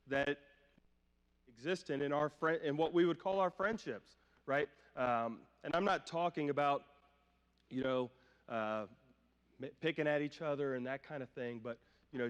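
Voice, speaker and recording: Man preaching conversationally in a reverberant hall. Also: a little high. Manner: informal, checks in with listeners with rhetorical questions. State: slight cough, voice a little off from a cold. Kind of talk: conversational sermon. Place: church hall during a live-streamed service. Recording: long hall reverb, live church stream.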